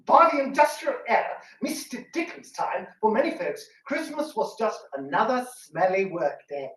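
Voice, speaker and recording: A man's voice: high voice, very animated, normal speed, fairly bright tone. A man co-presenting a scripted talk with banter, like a theatre performer. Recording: roomy, distant mic.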